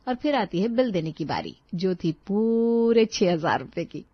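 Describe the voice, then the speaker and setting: A woman's voice: mid-range voice, very animated, unhurried, husky, dry recording, dull audio. A woman narrating a scripted story as a storyteller.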